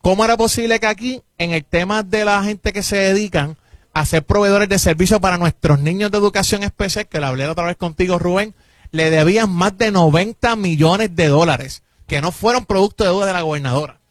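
A man's voice: high-pitched, a little fast, no room sound. A man from Puerto Rico with a Puerto Rican accent, a government official answering interview questions emphatically and insistently. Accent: Puerto Rican Spanish. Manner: emphatic, insistent, repeats points. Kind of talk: interview answers, political defense.

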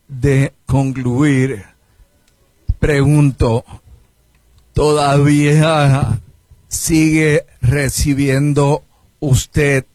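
Slow deliberate male interviewer. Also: fairly high pitch, darkish tone, no room sound, low-quality recording. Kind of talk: interview questions.

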